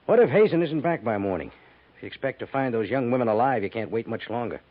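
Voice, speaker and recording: An actor playing a stern, harried army major with an official, deliberate delivery in a 1950s radio western. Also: male voice, medium pitch, expressive, lightly acted. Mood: troubled. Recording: dull 1950s radio recording.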